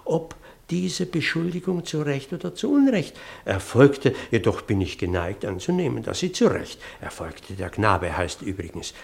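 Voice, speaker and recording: A man's voice: mid-range voice, sing-song, moderate pace, creaky, few pauses. An actor playing an earnest, long-winded parish clergyman in a German radio play, pedantic and hesitant, easily flustered as he digresses. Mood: pedantic, disapproving.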